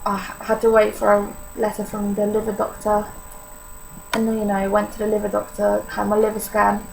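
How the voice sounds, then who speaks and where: A woman's voice: mid-range voice, monotone, normal speed, creaky, noisy background. An eighteen-year-old woman from Poland with a Polish accent, talking candidly to camera in a personal vlog, with many fillers. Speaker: vlogger.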